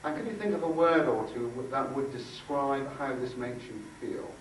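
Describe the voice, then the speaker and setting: A man giving an informal workshop talk, halting and conversational, repeating phrases for emphasis. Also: mid-range voice, expressive. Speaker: workshop speaker and aura healer. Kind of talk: informal talk with demonstration and guided visualisation. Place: indoor room before a group. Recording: echoey room, distant mic, faint noise.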